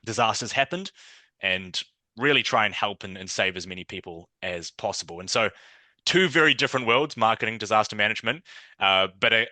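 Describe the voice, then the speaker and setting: A man's voice: lowish voice, sharp tone, dry recording. A man with a New Zealand accent, answering questions as a guest on an interview podcast in a thoughtful, conversational way. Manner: thoughtful, conversational, frequent fillers and restarts.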